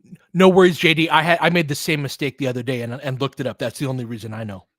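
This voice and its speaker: Young male fan play-by-play caller, mid-range voice, excitable and laughing, dry close sound. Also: mid-range voice, dry recording. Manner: excitable, laughs often, quick asides. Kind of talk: live play-by-play commentary and chat.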